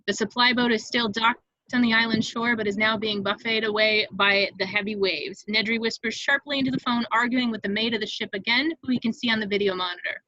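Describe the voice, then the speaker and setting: A woman narrates a screenplay's scene directions. Her voice sits a little high and sounds bright and crisp in its attack, though the recording is somewhat dull and lo-fi. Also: steady tone, fairly quick, few pauses, no echo. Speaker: narrator reading stage directions in a table read.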